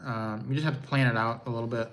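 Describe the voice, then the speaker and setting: A man's voice: medium pitch, steady tone, fast talker, a bit bright. Older male co-host, easygoing live-stream chatter, faint noise behind. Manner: easygoing, chuckles often. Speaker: co-host of a travel channel. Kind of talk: casual live stream chat.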